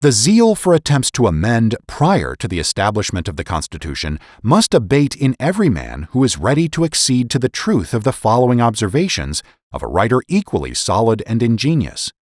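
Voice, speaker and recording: A man narrating a historical political essay as a dramatic reading, in a formal, measured, oratorical manner. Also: mid-range voice, huge pitch swings, dry recording, clean studio sound. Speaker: podcast host and narrator.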